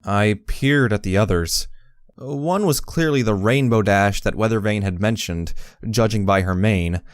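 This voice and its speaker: A man voicing a timid, soft-hearted young pony who narrates an audio story in the first person, earnest and hesitant. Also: lowish voice, measured pace, clear, resonant tone, no echo, clean studio sound, natural voice. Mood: reflective.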